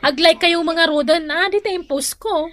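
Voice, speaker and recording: A woman's voice: very high-pitched, moderate pace, a bit bright. A woman acting a vain, bubbly, pushy wife hooked on likes in a comedic radio drama, with an Ilocano Filipino accent and an exclamatory, wheedling, bossy delivery. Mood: boastful.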